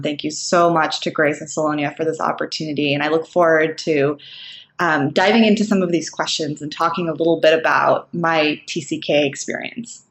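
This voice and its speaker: A young woman raised in Singapore with an American-sounding accent, speaking in a thoughtful, measured way with frequent ums in a recorded summit talk. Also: low-pitched. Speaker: nonprofit co-founder. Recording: echoey room, faint background noise.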